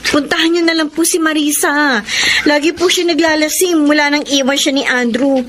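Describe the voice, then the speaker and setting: Actress doing worried loyal friend, radio drama, high voice, all anxious. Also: female voice, fairly even intonation, normal speed, lightly acted. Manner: anxious, earnest. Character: worried loyal friend of a jilted young woman. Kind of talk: Filipino radio drama acting. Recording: faint background noise.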